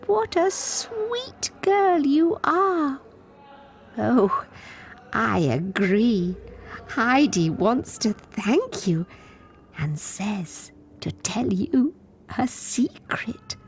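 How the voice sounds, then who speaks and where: A woman's voice: a little high, very animated, drawn-out words, full character voice. A woman playing a childlike, disturbed asylum patient in a video game, plaintive and confiding. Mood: sweet, confiding. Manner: childish, plaintive, drifting into breathy confiding whispers. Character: childlike disturbed female asylum patient devoted to her doll.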